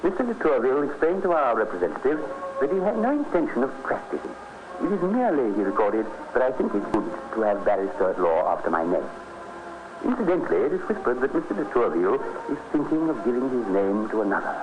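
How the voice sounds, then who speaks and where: A man playing a Victorian newspaper announcer declaims with gossipy pomp. His pitch moves dramatically over a dull, noisy old radio recording. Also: very animated, fast, lightly acted. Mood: pompous. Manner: formal, declaiming. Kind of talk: vintage radio drama, read-out notices.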